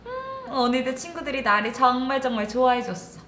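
A woman's voice: high voice, big pitch swings, brisk, fairly bright tone, a little hiss or hum. A woman voicing a sweet, vain yet anxious little girl in a children's story, exclaiming and talking to herself in a sing-song way. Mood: self-satisfied. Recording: echoey room with music behind.

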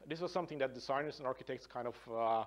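A man's voice: medium pitch, racing. Norwegian man giving a conference talk. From Norway. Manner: loose and conversational, many fillers and restarts. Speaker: conference speaker, design professor. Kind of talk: conference presentation. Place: large hotel conference room.

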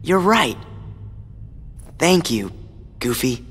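Husky, earnest teenage boy hero. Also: medium pitch, very animated, very slow, fairly bright tone, heavily acted. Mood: sincere. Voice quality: husky, youthful. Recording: long hall reverb over background music.

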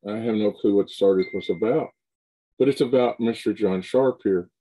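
A man's voice: lowish voice, fairly quick, mellow, low-quality recording. A middle-aged man hosting an interview over a video call from a home room, talking loosely with many restarts. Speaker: show host. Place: home room with shelves of collectibles.

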